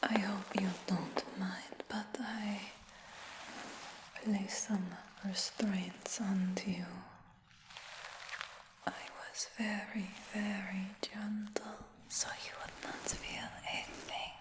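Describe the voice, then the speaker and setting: A calm, scheming dragon hunter, as a woman puts her on for an ASMR fantasy roleplay. She speaks in a soft, unvoiced whisper at a very slow, even pace with little lift in the pitch. A long, roomy reverb hangs behind every word. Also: noisy background. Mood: softly menacing.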